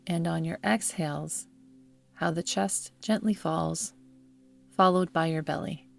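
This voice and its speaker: Slow, creaky-voiced woman guiding meditation. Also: low voice, fairly even intonation. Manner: soothing, measured, with long pauses. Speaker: yoga nidra guide. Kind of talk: guided meditation narration. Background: background music.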